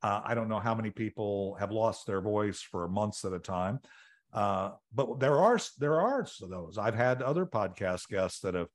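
A man's voice: fairly low pitch, animated, normal speed. A middle-aged man hosting an interview podcast over a video call, rambling and restarting his sentences often. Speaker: podcast host. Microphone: video call webcam.

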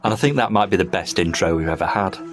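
A man's voice: low-pitched, fast talker, slight background noise. A man hosting a podcast in a casual, rambling, self-deprecating chat style. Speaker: podcast host.

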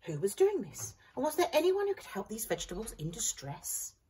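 A woman reads a picture book aloud with dramatic expression. Her voice is slightly husky and swings widely in pitch. It is picked up from a short distance by a camera microphone. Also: wildly swooping pitch, brisk, warm tone. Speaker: primary school staff member reading aloud. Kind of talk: animated children's storybook reading. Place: small school library corner indoors. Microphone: camera a few feet away.

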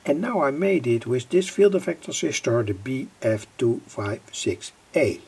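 A middle-aged man with a gravelly, husky voice giving an informal, halting technical explanation as a hobbyist presenter. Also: mid-range voice, expressive, a little mellow. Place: indoor home electronics workbench.

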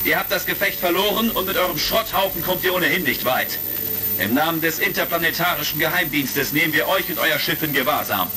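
An actor playing a confident, heroic space commander in a retro radio play, giving assured orders. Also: male voice, on the high side, fairly quick, natural voice. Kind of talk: retro science fiction radio play acting. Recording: music behind, vintage crackle.

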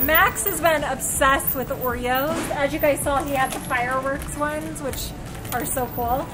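A woman's voice: sits high, fairly even intonation, unhurried, poor audio. An American woman in her thirties chatting casually and expressively to a handheld camera, as in a family vlog. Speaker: family vlogger. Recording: music playing behind the voice.

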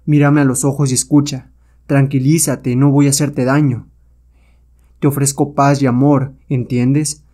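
Man playing a calm, mellow-voiced noble hero. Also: medium pitch, close to an everyday voice. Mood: soothing. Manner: calm, self-assured, measured.

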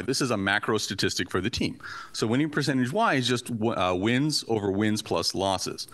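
A Canadian-raised man whose first language is Portuguese, giving a lively, joking academic talk in a lecture hall. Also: mid-range voice. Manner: lively, chatty, joking asides. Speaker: invited conference speaker.

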